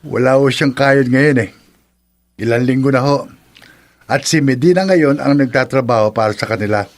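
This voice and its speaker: An actor playing a cheeky, good-natured tricycle driver in a Philippine radio drama, Filipino accent, respectful but teasing. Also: male voice, medium pitch, normal speed, close to an everyday voice.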